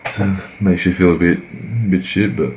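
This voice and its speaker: A man, croaky from a cold, giving laid-back, rambling voice-over commentary on his workout. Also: sits low, steady tone, normal speed, a little mellow, slight background noise, low-quality recording. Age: 20s. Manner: laid-back, rambling, many fillers and restarts. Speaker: fitness vlogger.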